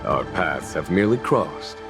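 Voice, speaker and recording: An actor playing a composed, courteous general in a video game, speaking formally with music behind him. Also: male voice, sits low, big pitch swings, unhurried, full character voice.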